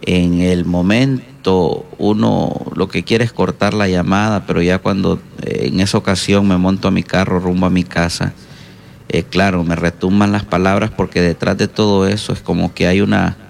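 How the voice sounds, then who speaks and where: A middle-aged man with a Honduran Spanish accent, answering interview questions as a pastor in a halting, reflective way. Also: low-pitched, level tone, creaky, a little hiss or hum. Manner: halting, reflective, hesitant pauses. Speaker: guest pastor and counselor.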